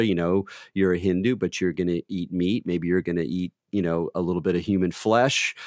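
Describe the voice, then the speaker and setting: A man with a booming voice talking in a rambling, thoughtful way, full of fillers and restarts. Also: no echo, studio quality. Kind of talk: thoughtful conversational talk.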